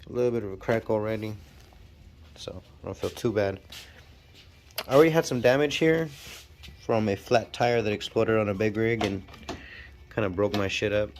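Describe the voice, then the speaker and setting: A man with a stuffy, nasal cold talking casually and haltingly while working on a car in his garage. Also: fairly low pitch, darkish tone, slight background noise. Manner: talks while working, halting and self-deprecating. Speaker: channel host. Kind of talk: casual DIY garage walkthrough. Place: home garage.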